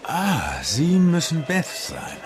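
An actor playing a mild, polite, quirky Dutch traveller with a hesitant, understated manner in a German radio drama. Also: male voice, fairly high pitch, huge pitch swings, takes it slowly, over music, full character voice.

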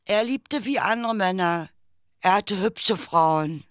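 A performer playing an earnest lecturer who haltingly repeats statements and persists in a chaotic radio play. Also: mid-range voice, fairly bright tone, no room sound, clean studio sound. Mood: insistent. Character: earnest lecturer stubbornly persisting amid chaos.

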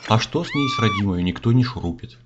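A man's voice: mid-range voice, wildly swooping pitch, fast, background noise. A man narrating a comic fairy tale in folksy, theatrical rhyme. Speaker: storyteller, narrator. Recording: slightly dull audio, music behind.

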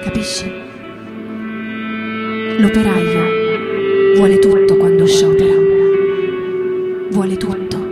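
A woman's voice: medium pitch, big pitch swings, slow pace, pauses often. A woman acting a defiant militant factory worker in an emphatic, colloquial theatrical monologue over guitar music. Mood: resolute. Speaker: stage actor.

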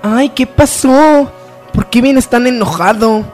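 A woman playing an expressive, meddling young woman in a parody radio soap. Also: fairly high pitch, slow, faint music behind. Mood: animated.

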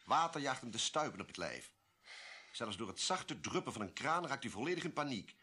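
Een acteur die in een hoorspel een beleefde, gezaghebbende legerkolonel speelt die beheerst dingen uitlegt. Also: male voice, medium pitch, sing-song, brisk, pushed and bright, husky, heavily acted. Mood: explaining. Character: smooth-talking, authoritative army colonel from the ministry. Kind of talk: radio play acting.

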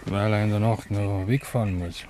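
An actor playing a sly, needling village card player, dry and gossipy, with a Bavarian accent, in 1950s radio play style. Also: male voice, fairly low pitch, steady tone, moderate pace, mellow, lightly acted. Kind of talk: 1950s German dialect radio play acting. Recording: 1950s radio recording, dull, faint noise.

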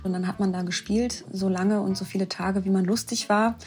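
A woman's voice: medium pitch, fairly even intonation, brisk, a little mellow, faint music behind. A woman speaking spontaneously as an expert interviewee, explaining things in a conversational, emphatic way.

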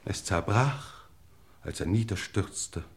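An actor playing a haughty, suave English lord who speaks in a formal, elaborate way in an old German crime radio play. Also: male voice, fairly low pitch, expressive, takes it slowly, gravelly, heavily acted. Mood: suave regret. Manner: pompous, formal, elaborately worded.

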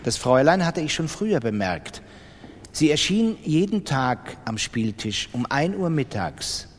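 A man narrating a dramatized literary reading in an expressive, deliberate storytelling manner. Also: a little high. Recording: long reverberant hall.

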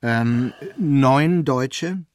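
An actor playing an agitated, evasive witness in a German radio play, hesitant, testy and given to digressions. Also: male voice, mid-range voice, very slow, no room sound, clean studio sound. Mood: eager, counting. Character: evasive, agitated, rambling male witness, enthusiast of poetry.

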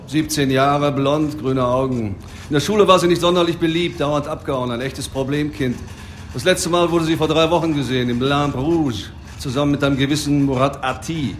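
A man playing a matter-of-fact police officer giving a brisk briefing in a radio drama. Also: a little high, slight background noise, natural voice. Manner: brisk, factual.

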